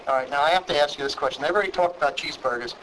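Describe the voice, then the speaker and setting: This is a man with a Brooklyn accent who talks quickly and sits a bit high in pitch. He hosts an interview, asking friendly questions and often restarting his sentences. A faint hiss runs behind his voice. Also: fairly bright tone, poor audio. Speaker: talk show host. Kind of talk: interviewing guests.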